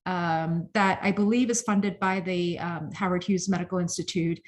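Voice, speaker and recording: Halting woman introducing a seminar speaker. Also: fairly low pitch, steady tone. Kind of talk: spoken introduction at an academic seminar.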